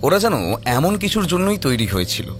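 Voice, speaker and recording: A man's voice: high-pitched, big pitch swings, a little fast, soft background music. A man narrating a scripted horror audio story in a storytelling style.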